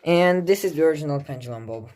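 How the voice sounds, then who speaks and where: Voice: medium pitch, expressive, fast, a little mellow. A person giving a casual hands-on demonstration as a collector, thinking aloud in a musing, hesitant way. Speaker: clock collector presenting a clock. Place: indoors beside a ticking clock.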